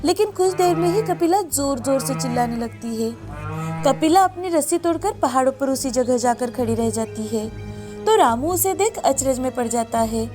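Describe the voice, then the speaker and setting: High-pitched woman storyteller over music. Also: animated, moderate pace. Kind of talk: scripted narration of a moral story. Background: background music.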